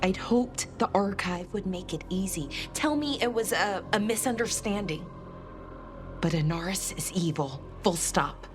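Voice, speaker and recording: A tender, anxious elven woman, played by an actress in a fantasy game drama. She speaks slowly and haltingly in a mid-range voice, with earnest grief, over loud music. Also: medium pitch, animated, lightly acted. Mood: wistful, troubled. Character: anxious, tender elven woman grieving her lost brother. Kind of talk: dramatic video game cutscene acting.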